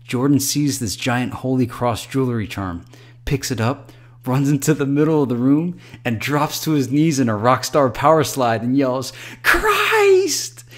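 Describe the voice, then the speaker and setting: A man voicing an excited, boisterous young party bro who brags in slangy, animated bursts while recounting a night out in a told story. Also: mid-range voice, measured pace, pitched above the performer's own voice.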